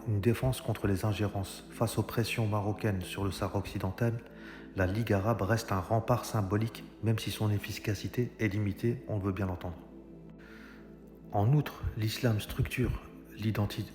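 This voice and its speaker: Man arguing in an echoey room. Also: fairly low pitch. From Algeria. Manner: argumentative, addresses viewers directly, frequent hesitations. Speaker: commentator. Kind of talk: political monologue. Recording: echoey room with long reverb. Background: music.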